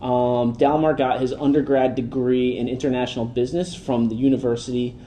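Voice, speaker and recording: A man's voice: mid-range voice, steady tone, brisk, mellow, a little hiss or hum. A man hosting a podcast, who jokes loosely and keeps the chat upbeat. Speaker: podcast host. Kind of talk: casual interview hosting.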